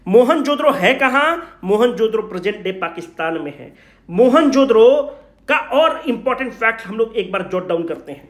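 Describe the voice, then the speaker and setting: A man's voice: sits high, lively intonation, moderate pace. A man lecturing exam students informally, asking questions and answering them himself, switching into English at times. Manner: informal, addresses students directly; switches between Hindi and English. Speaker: exam coaching teacher. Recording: echoey room.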